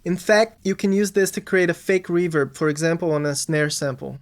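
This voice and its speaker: A man's voice: a little high, moderate pace. A man narrating an instructional music production tutorial, explaining things step by step.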